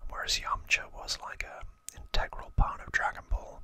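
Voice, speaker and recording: An ASMR host whispering casual, informal trivia narration in a breathy, unvoiced voice. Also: moderate pace, bright, forceful tone, no room sound.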